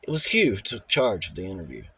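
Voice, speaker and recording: A man with a husky voice reading a novel aloud as an audiobook narrator. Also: low-pitched, wildly swooping pitch, quick pace, sharp tone. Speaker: audiobook reader. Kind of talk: scripted novel narration with character dialogue.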